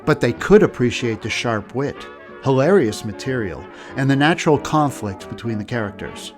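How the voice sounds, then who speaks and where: A man giving scripted documentary narration in an even, informative way. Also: medium pitch, moderate pace. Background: music.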